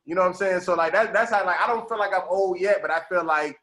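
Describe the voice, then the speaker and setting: A man in his thirties hosting a casual podcast interview, laughing often and chiming in with quick agreements. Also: high-pitched, sharp tone. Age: 30s. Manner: laughs often, repeats "right, right". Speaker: podcast host.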